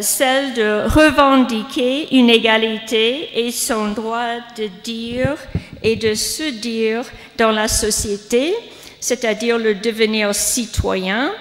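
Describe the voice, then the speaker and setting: Older woman, hesitant, echoing hall. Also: on the high side. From United States. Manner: hesitant, many fillers and restarts. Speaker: guest speaker, professor. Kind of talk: academic lecture. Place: lecture hall. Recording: long reverb of a hall.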